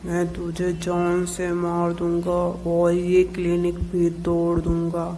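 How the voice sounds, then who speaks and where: A man voicing a cruel, threatening crow husband in a cartoon story, with a deliberate, menacing delivery. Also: high-pitched, monotone, mellow, soft background music. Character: cruel, threatening male crow husband who rejects daughters. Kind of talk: Hindi cartoon story voice acting.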